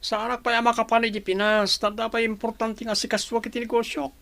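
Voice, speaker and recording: A man's voice: extremely high pitch, level tone, a little fast, gravelly, a bit bright, heavily acted. A man playing an insistent, businesslike young boyfriend in a radio drama, with a Filipino accent. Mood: dismissive. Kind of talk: Ilocano radio drama acting.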